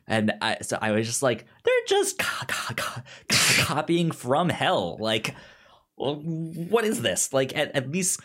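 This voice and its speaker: A man's voice: mid-range voice, wildly swooping pitch, measured pace, pushed and bright. A man co-hosting a podcast, giving recommendations in casual conversation, often repeating and restarting his words. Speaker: podcast co-host.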